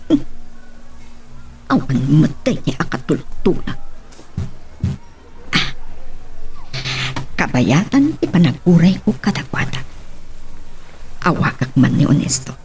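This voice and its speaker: A woman's voice: low voice, very animated, slow pace, pauses often, darkish tone, faint music behind, exaggerated voice. A woman playing a scheming, calculating businesswoman in an Ilocano radio drama. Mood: brooding.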